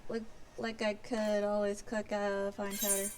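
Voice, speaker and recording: A woman's voice: mid-range voice, completely flat delivery, a little slow, darkish tone, slight background noise, lightly acted. Woman acting a grumbling, folksy seaside cook. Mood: pleased, boastful. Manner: folksy, complaining, exclaiming. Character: grumbling rustic seaside cook in a fantasy game. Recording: music behind the voice.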